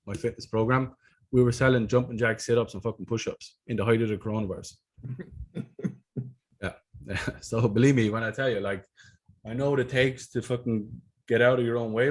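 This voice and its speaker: Picture an Irish man in his thirties on a video call, speaking into a desk mic at home. He tells his story bluntly and with lots of swearing, in a voice of average pitch for a man. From Ireland. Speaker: podcast host. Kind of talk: casual storytelling chat. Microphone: desk microphone on a video call.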